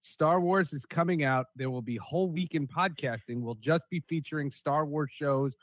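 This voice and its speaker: A man with a fairly high voice hosts a casual podcast. He rambles excitably and repeats himself for emphasis, recorded close and dry. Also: moderate pace, no room sound. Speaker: podcast host.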